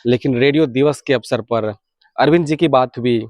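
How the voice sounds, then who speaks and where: A man's voice: mid-range voice, normal speed, darkish tone, no echo. A man with an Indian accent and a mid-range voice speaks as the host of a hobby channel. He delivers an informal update, explaining things and often restating them in other words. The sound is dry, with hardly any room in it. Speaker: video channel host sharing radio hobby news. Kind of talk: informal news update to viewers.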